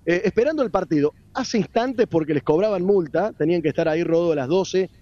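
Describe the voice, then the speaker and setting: Argentine guy reporting live, lots of eh's, mellow, dull dry sound. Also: high voice, quick pace, no echo. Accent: Argentine Spanish. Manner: many fillers, hesitant and drawn out. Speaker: field reporter.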